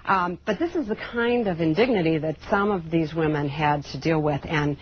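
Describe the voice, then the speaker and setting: A woman giving thoughtful commentary in a measured way, searching for her words. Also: sits low. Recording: muffled broadcast rip, faint noise.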